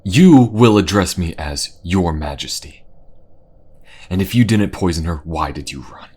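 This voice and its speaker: Man playing a deep-voiced, stern, aggrieved king. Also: animated, unhurried, a little mellow, breathy edge, heavily acted. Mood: stern, accusing. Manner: commanding, regal, brooding. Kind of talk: fantasy audio drama acting.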